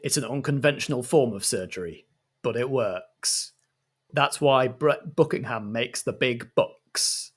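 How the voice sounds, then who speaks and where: A man acting as game master, setting scenes in a measured way for an improvised roleplay. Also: mid-range voice, slightly rough.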